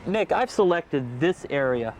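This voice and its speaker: A man's voice: a little high, expressive, unhurried, soft background music, poor audio. A man hosting an instructional how-to show, presenting in a casual, explanatory way outdoors. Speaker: TV show host. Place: outdoors on a boat.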